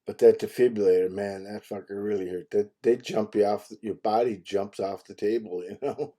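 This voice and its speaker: An older man with a low, soft and very mellow voice. He tells his stories casually over the phone, in blunt and salty language. Chuckles tend to creep into his way of talking. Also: steady tone, moderate pace. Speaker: phone call participant recounting his medical history. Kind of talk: casual chat, anecdotal storytelling. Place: phone conversation.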